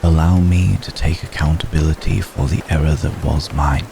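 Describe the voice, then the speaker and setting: Very deep, gravelly vampire gentleman played by a man. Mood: remorseful. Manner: formal, measured, solemn, with long pauses. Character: male vampire gentleman, ancient, brooding, remorseful, tender. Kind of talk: ASMR roleplay, intimate slow dramatic monologue. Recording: steady rain noise behind the voice. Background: rain.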